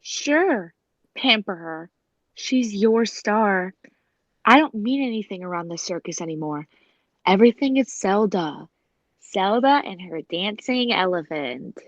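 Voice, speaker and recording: A woman's voice: mid-range voice, expressive, unhurried, dry recording, muffled recording. Woman as a swooping, jealous circus tiger trainer. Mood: bitter. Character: jealous, hot-tempered woman big cat trainer with a whip. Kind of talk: 1940s radio acting.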